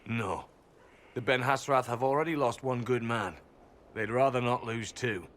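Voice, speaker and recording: An actor playing a stern, dutiful agent with a formal, disdainful manner in a fantasy video game. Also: male voice, medium pitch, normal speed, fairly bright tone, slight background noise, natural voice. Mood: cold, formal. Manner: formal, severe. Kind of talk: video game cutscene dialogue.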